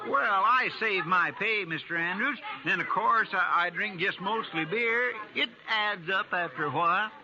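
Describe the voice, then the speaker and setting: An American actor playing a folksy, sheepish frontier deputy in a radio Western, with a stammering, homespun manner. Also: male voice, sits high, normal speed, hardly any pauses, a crowd in the background, lightly acted. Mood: mock innocent. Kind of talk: 1950s radio Western acting. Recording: muffled, noisy 1950s radio broadcast.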